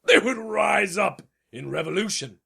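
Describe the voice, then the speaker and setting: A man playing a proud old king in a storybook dramatization, with a gravelly, raspy voice and a pompous, imperious, theatrical delivery. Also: sits high, lively intonation, unhurried, bright, forceful tone, full character voice. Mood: imperious, indignant.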